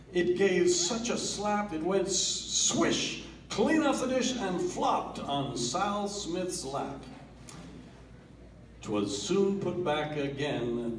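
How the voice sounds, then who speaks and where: A man giving a dramatic reading of a comic poem at a family gathering, theatrical, with swells and hushed drops. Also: high-pitched, a little hiss or hum. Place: family holiday gathering in a room. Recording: roomy, distant home video.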